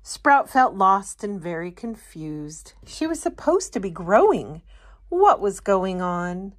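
A woman reading a children's picture book aloud as an expressive storyteller. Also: medium pitch, slow pace. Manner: expressive storytelling, putting on character voices. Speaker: storytime reader.

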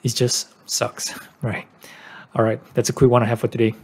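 A man's voice: mid-range voice, completely flat delivery, normal speed. A man hosting a YouTube video, giving scripted commentary in an informal, matter-of-fact way. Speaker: YouTube channel host on card investing.

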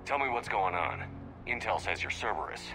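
An actor playing a calm, resolute military commander in a video game, speaking in a terse, authoritative way. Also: male voice, fairly low pitch, fairly quick, natural voice. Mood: commanding. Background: game music.

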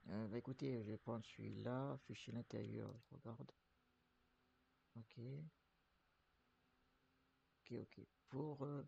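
A man's voice: mid-range voice, creaky, a little mellow, a little hiss or hum. A man with the flu talking his viewers through buying a truck in a game, rambling with many fillers and restarts. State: has the flu. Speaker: streamer playing a trucking game. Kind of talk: casual gameplay commentary.

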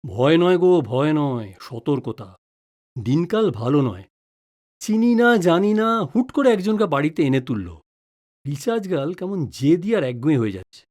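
A woman's voice: low voice, big pitch swings, moderate pace, warm tone. A woman playing a wary, joking middle-aged father in an audio drama, with a teasing, exclamatory manner. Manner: teasing, mock-pompous, exclamatory.

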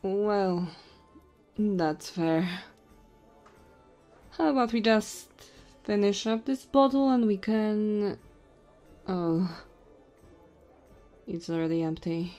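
A woman's voice: medium pitch, expressive, dark tone, faint music behind. A woman voicing a tipsy, flirty female goblin innkeeper in an ASMR audio roleplay, teasing and intimate. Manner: teasing, intimate, drawn-out drunken musing.

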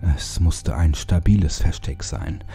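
Slow, dark, gravelly male horror narrator. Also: very deep voice, soft background music. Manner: deliberate, brooding dramatic delivery with pauses. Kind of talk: dramatic scripted horror story narration.

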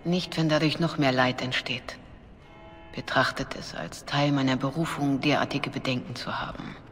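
An actress playing a calm, devout, quietly shrewd woman cleric, speaking formally and with measure in a dubbed video game. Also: very deep voice, normal speed. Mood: grave, discreet. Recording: long hall reverb. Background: music.

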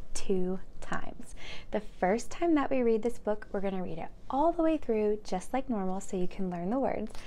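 A woman in her thirties hosting a children's read-aloud, speaking in an animated, sing-song, encouraging way and putting questions to her young listeners. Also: medium pitch.

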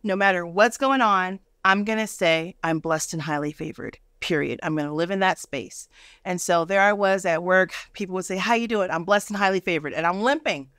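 A woman's voice: lowish voice, moderate pace, fairly bright tone, no room sound. A middle-aged American woman telling personal stories emphatically in a studio interview, acting out the dialogue she quotes. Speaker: guest. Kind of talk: animated personal storytelling in a TV interview. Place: indoor TV studio.

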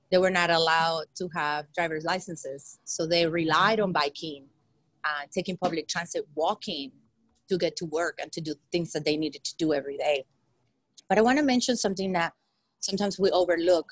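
A woman's voice: on the low side, a bit bright, dry recording, poor audio. Young Colombian-born woman, dry close sound. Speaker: panelist. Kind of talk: panel discussion remarks. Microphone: earbud headset on a video call.

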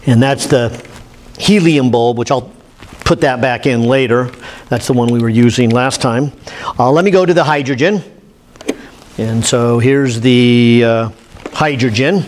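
A man, giving an informal, halting lab demonstration as an instructor, thinking aloud. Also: medium pitch. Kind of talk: informal demonstration lecture at a whiteboard. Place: indoor classroom lab. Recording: steady room noise, classroom camera audio.

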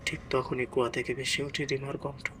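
A man's voice: mid-range voice, flat delivery, brisk, fairly bright tone, soft background music. A man narrating a scripted horror story in a dramatic, suspenseful way as the storyteller.

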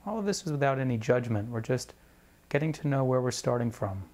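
A man leading a guided meditation as a teacher, speaking in a measured, deliberate way with pauses between phrases. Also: medium pitch, expressive, darkish tone.

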